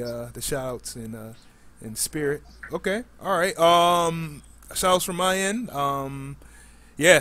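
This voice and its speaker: A man's voice: mid-range voice, fairly bright tone. A man hosting a live podcast in a casual, informal way with plenty of slang. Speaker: podcast host.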